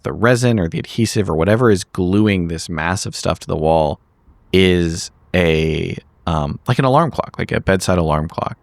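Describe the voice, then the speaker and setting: A man playing a tabletop game, narrating his character's actions haltingly as he thinks aloud. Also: deep voice, dry recording. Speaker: player.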